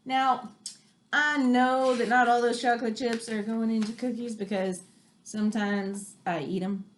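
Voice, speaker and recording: A woman's voice: a little high, fairly even intonation, measured pace. A woman reading a nonfiction book aloud for children, chatty and exclamatory, with casual asides.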